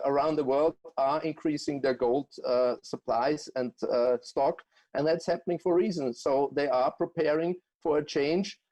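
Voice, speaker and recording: A man from Austria with an Austrian accent, answering interview questions as a guest economist in a halting way, full of fillers and restarts. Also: fairly high pitch, warm tone, rough recording. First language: German.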